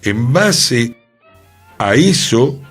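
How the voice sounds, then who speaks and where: Slow, husky man. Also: medium pitch, big pitch swings, a bit bright, soft background music. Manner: reflective, slow, musing. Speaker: radio co-host. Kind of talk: cultural radio discussion. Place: radio studio.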